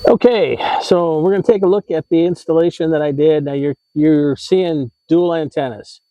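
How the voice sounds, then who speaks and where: A man hosting a how-to vlog, explaining things in a casual, conversational and slightly halting way. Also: fairly high pitch, warm tone, highs cut off. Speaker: vlog host giving RV tips.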